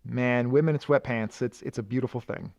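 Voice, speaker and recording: A man's voice: medium pitch, steady tone, brisk, gravelly, darkish tone, no room sound, studio quality. A man from Michigan co-hosting a casual comic-book podcast, rambling and joking as he recaps. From Michigan, USA. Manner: rambling, self-correcting, joking. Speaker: podcast co-host.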